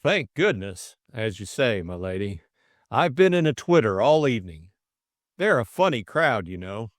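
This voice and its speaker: A man's voice: medium pitch, sing-song, normal speed, no room sound, studio quality, lightly acted. A man voicing an anxious, deferential manservant with a fretful manner in an audiobook drama. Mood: relieved, nervous. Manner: fretful, servile. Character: anxious, deferential young manservant, relieved and jittery.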